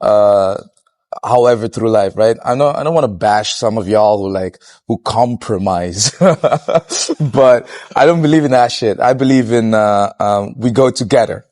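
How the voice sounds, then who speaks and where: A young man from Curacao talking outdoors on a city street as an interviewee, relaxed and blunt, swearing freely and laughing as he talks. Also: on the low side, unhurried. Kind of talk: casual street conversation.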